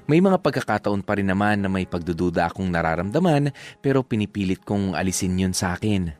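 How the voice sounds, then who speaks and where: A man's voice: deep voice, animated, brisk, darkish tone, faint music behind. An actor playing an earnest young man narrating his own love story in a radio drama, reflective, with a Filipino accent. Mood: reflective, sincere. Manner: sincere, reflective. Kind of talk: first-person radio drama letter narration.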